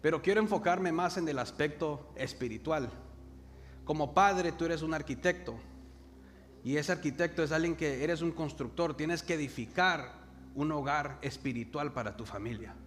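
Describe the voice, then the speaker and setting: Man, young, Salvadoran accent, preacher, slightly high voice, faint background hiss. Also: a bit bright. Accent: Salvadoran Spanish. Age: young adult. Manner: calls the congregation to repeat words, slows for emphasis. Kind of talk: sermon. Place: church hall. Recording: reverberant hall with faint noise.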